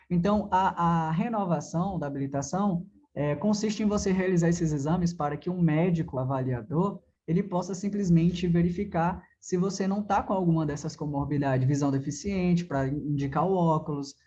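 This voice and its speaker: A Brazilian man teaches in a conversational, didactic way, often asking a question and then answering it. His voice is mellow and soft-edged. The recording is a little dull and lo-fi, like a simple lesson capture. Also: on the high side. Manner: didactic, asks and answers own questions, frequent check-in tags. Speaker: driving theory teacher. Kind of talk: conversational classroom lecture.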